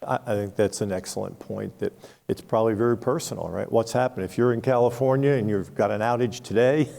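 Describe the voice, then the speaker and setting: A man's voice: fairly low pitch, fairly quick, gravelly, warm tone. A man speaking frankly on a conference panel, explaining with examples, recorded in a reverberant hall. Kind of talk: unscripted panel discussion.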